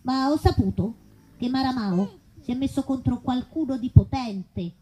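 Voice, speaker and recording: Lady as reformed troublemaker guy pleading innocent, deadpan, high mellow voice, bit of background noise, live comedy bit. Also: moderate pace, poor audio. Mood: defensive. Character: reformed male troublemaker defending his innocence. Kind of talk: comic live stage dialogue.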